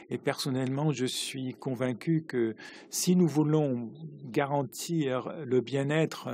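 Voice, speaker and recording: A man doing simultaneous interpretation of parliamentary speeches, halting, with uneven pacing and frequent restarts. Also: medium pitch, a little mellow, a little hiss or hum.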